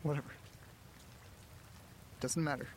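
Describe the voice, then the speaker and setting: An actor playing an anxious, distressed young man on a phone call to his mother in an audio drama, halting and pleading as he talks. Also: fairly high pitch, fairly even intonation, noisy background, natural voice. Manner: hesitant, halting, faltering, pleading.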